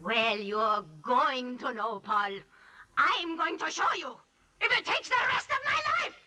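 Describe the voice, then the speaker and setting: An actress playing a proud, precise, vengeful prima ballerina in a theatrical 1940s radio style. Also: female voice, high-pitched, very animated, measured pace, sharp tone, heavily acted. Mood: bitter, vengeful. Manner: clipped, controlled, turning icy and intense. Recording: distant-miked 1940s radio broadcast.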